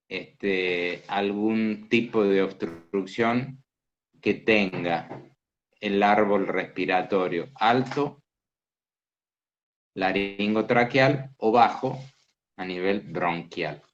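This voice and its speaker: A man teaching a medical class, explaining slowly and asking students questions. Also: on the low side, slight background noise. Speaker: medical lecturer. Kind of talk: interactive lecture. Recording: dull lo-fi class recording.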